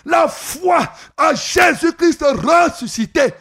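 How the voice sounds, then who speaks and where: A man preaching a revival sermon, shouting and stretching his phrases into a sing-song chant. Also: very high-pitched, lively intonation, takes it slowly, gravelly.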